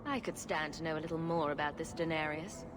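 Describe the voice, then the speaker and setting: An actress playing an unflappable, sarcastic young adventurer in a video game, with a dry, sardonic delivery. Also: female voice, sits low, fairly quick, lightly acted. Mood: dry, composed.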